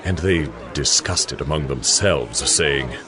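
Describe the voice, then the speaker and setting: A man narrating scripture from a script in a measured, formal storytelling manner. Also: extremely low pitch, crowd noise.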